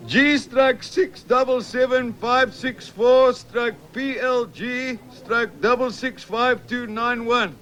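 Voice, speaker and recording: Dim, high-voiced South African shop assistant. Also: male voice, fairly even intonation, fairly bright tone, exaggerated voice. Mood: unbothered. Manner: slow-witted, slangy, unbothered. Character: dim, obliviously unhelpful male hardware shop assistant. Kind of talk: 1970s radio comedy sketch acting.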